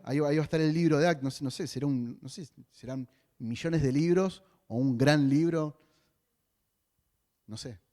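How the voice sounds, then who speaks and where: A man's voice: medium pitch, a little mellow. A man with a Rioplatense Spanish accent preaching a sermon as a pastor, in a conversational way with joking asides.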